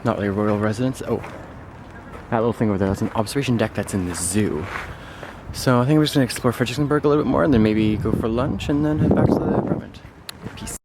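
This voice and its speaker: A man's voice: medium pitch, quick pace. A young man from Canada talks to his handheld camera in an easy, middle-range voice. He rambles and corrects himself as he thinks aloud. A faint hum of outdoor and room ambience sits behind him. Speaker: travel vlogger. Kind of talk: casual travel vlog. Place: outdoors in a park and indoors at an apartment.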